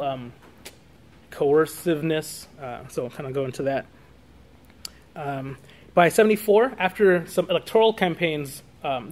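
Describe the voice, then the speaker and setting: A man in his thirties giving an academic lecture from notes, halting at times and correcting himself as he goes. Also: on the high side, a little mellow. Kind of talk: academic talk read from notes with spoken asides. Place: small classroom or meeting room, indoors.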